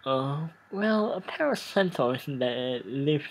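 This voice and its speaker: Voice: medium pitch, animated, breathy edge, rough recording. A let's play host of unclear sex narrating a game casually and reading its text aloud, often stumbling and restarting. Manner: halting, stumbles over read text and restarts. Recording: music playing behind voice. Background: background music.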